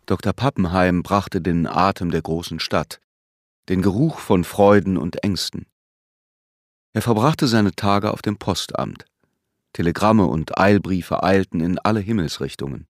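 A man narrating a literary radio drama in a measured, sober manner. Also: deep voice, no room sound, clean studio sound. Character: measured, literary narrator of a small-town tale.

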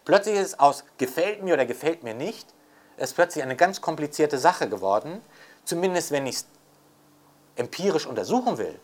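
A man's voice: fairly high pitch, sing-song, normal speed. An older man talking off the cuff as an interviewed author and scholar, reflective and emphatic, often adding rhetorical tags. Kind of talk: unscripted intellectual interview talk.

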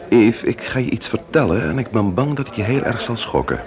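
An actor playing a serious, probing investigator in a Dutch radio crime drama. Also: male voice, on the low side, lively intonation, brisk, a crowd in the background. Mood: grave.

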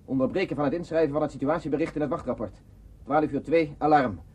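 Husky, clipped military lieutenant. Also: male voice, fairly high pitch, fast, darkish tone. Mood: official, measured. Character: tense, dutiful male border-guard lieutenant on lonely post. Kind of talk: radio play acting.

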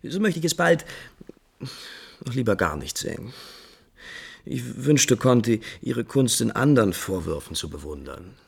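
Restless prince, swooping husky voice. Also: male voice, medium pitch, very animated, full character voice. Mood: animated, critical. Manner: theatrical, shifting between musing and brisk command. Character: restless lovesick prince, impulsive and refined. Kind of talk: 1950s German radio drama acting. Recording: 1950s radio recording with music behind.

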